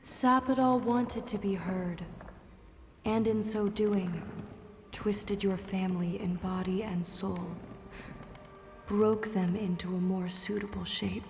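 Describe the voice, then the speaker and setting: A woman's voice: mid-range voice, steady tone, slow pace, a little mellow. A woman playing a solemn, ancient spirit in a fantasy game, speaking formally and with ceremony. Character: solemn female ancient spirit, gentle messenger of a god. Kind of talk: fantasy video game acting. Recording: long cavernous reverb over music.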